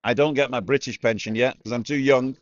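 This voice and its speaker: A man's voice: medium pitch, fairly even intonation, brisk, no room sound. A man in his sixties hosting a vlog, chatting and asking lots of questions in a bar. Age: 60s. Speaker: vlogger host. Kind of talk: casual chat. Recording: slightly dull sound.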